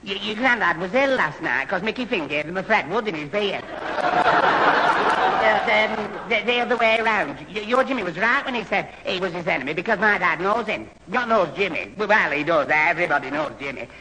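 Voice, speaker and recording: A man's voice: sits high, animated, fairly quick. A man playing a dim, muddled but good-natured young lad who stammers and repeats himself in a 1970s radio sitcom. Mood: muddled, gormless. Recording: old hissy radio broadcast, roomy hall.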